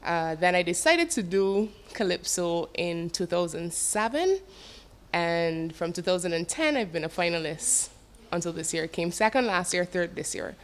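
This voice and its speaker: A woman with a Barbadian accent answers a talk show host's questions. She speaks in a lowish voice and keeps a measured pace, with the odd "um". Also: lively intonation. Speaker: calypsonian guest. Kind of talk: interview answers.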